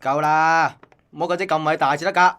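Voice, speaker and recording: A man's voice: on the high side, steady tone, fairly quick, fairly bright tone, close to an everyday voice. A man with a Hong Kong Cantonese accent playing a blunt, resentful young office assistant in a radio drama. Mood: suspicious, defiant. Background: faint music.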